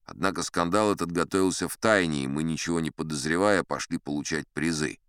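A man's voice: a bass voice, fast talker, smooth, no echo, studio quality. A man narrating an audio drama as a boastful sea captain, telling his tale with irony and confiding asides to the listener. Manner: expressive storyteller, ironic, confiding asides. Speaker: narrator and lead actor. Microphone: close studio mic.

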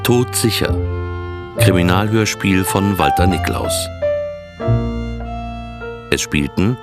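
Loud piano and violin music plays under a male radio announcer. His voice is low and he reads scripted drama credits slowly and deliberately. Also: animated.

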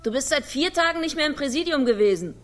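A woman's voice: sits high, animated, fast talker, over music. An actress playing a female figure who breaks into a detective's monologue in a radio drama. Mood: plaintive. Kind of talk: radio play acting.

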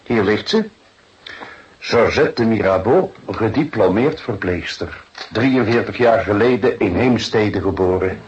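A man playing a seasoned, drily observant police detective in a radio drama, speaking in a measured, musing way. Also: fairly low pitch, natural voice. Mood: musing, matter-of-fact. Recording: muffled radio recording with faint noise.

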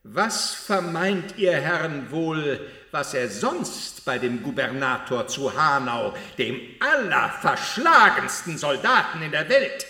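In a roomy space, away from the microphone, a ruthless military prosecutor in a historical radio play. His voice is highish and animated. He declaims his accusations in grand rhetorical style. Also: slow, few pauses, recorded from a distance, heavily acted. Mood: accusing, indignant. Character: accusing, ruthless male military prosecutor of the Thirty Years' War.

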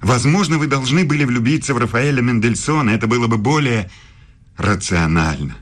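An actor playing a self-assured, evasive professor and secret lover in a radio play, speaking formally and pedantically. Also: male voice, medium pitch, expressive, a little fast, natural voice. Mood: probing, jealous.

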